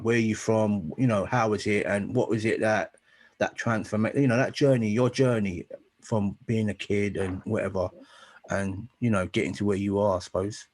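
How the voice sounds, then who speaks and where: Male interview host with a lowish voice, casual and encouraging, loose rambling phrasing. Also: a little mellow. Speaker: podcast host.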